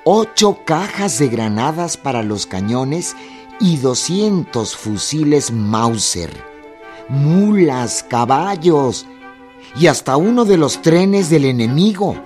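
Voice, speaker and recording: A man delivers deliberate, theatrical scripted narration for a radio drama. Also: fairly high pitch, very animated, a little mellow. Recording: prominent music and effects behind.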